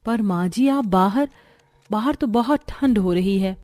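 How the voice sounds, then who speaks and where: A woman's voice: mid-range voice, a little slow, dark tone, dry recording, studio quality, natural voice. A woman voicing earnest, caring women of a poor village family in a cartoon story. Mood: concerned, determined. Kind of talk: Hindi cartoon story dialogue.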